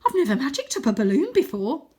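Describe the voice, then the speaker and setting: A woman voicing an excitable, boastful boy who is eager about magic in a storybook reading. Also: sits high, quick pace, breathy edge, voice pushed up, exaggerated voice. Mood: proud, playful. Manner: eager, exclaiming.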